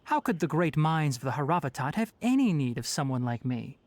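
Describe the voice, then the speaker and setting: A woman's voice: deep voice, sing-song, a little fast, darkish tone. A woman playing a polite but firm, guarded young male forest ranger in a video game. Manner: courteous, formal, firm.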